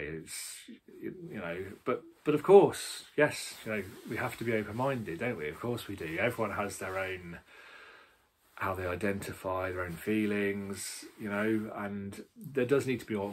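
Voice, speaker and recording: A man's voice: sits low, slight background noise. A British man giving a casual, opinionated book review in a rambling, emphatic and blunt way.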